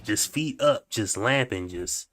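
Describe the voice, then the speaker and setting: A man's voice: fairly low pitch, expressive, slow pace, very noisy. A man hosting a reaction video, musing aloud in slangy, wry casual commentary. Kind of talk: casual commentary over clips.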